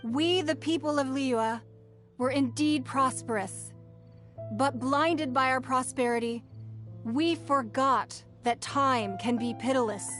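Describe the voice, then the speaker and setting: A woman's voice: sits high, slow, a bit bright, faint music behind. A woman playing a poised, noble leader in a video game, giving a solemn, formal public address. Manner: stately, formal, oratorical.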